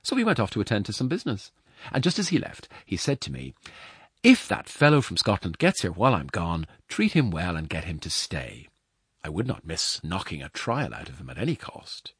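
A man voicing a calm, sly older foster mother in a storybook, chatting with unruffled mock innocence. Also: low voice, a little fast, slightly rough, no room sound, studio quality, exaggerated voice. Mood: coolly teasing.

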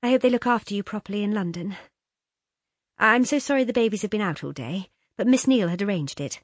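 A woman's voice: medium pitch, lively intonation, quick pace, dry recording, studio quality, pitched above the performer's own voice, lightly acted. A woman voicing a flighty, affected young society wife in an audiobook, breathless and gushing. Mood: breathless, awkward. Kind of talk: audiobook character dialogue.

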